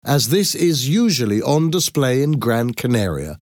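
A man's voice: medium pitch, big pitch swings, normal speed, darkish tone, no echo, clean studio sound, voice pushed up, natural voice. A man voicing a proud, pleased male archaeologist in a story, presenting formally and emphatically.

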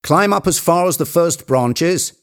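Man acting an excitable, bossy man, swooping high. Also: a little slow, smooth. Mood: insistent. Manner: imperious, agitated, exclaiming. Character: excited, bossy, obsessive man giving urgent orders.

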